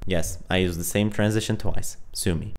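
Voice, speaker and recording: A man's voice: sits low, steady tone, moderate pace, a little hiss or hum. A young man hosting a scripted vlog about his coding project, talking into a close handheld mic in a self-deprecating, dryly joking way. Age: young adult. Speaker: video creator, host. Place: home room, indoors, mostly. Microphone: handheld microphone held close.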